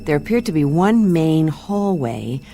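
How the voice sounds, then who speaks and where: Wry, low-voiced adventurous woman. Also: big pitch swings, unhurried, full character voice. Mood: cool, commanding. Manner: wry, matter-of-fact. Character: confident, wry female adventurer and narrator, cool-headed. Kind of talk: audio drama acting. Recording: music underneath.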